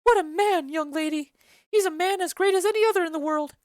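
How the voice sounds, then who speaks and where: A woman voicing a chattering, bawdy old female nurse in a dramatic reading of a play, rambling and loud. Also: very high-pitched, brisk, no echo, studio quality. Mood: gossipy. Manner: loud, rambling, giggly storytelling.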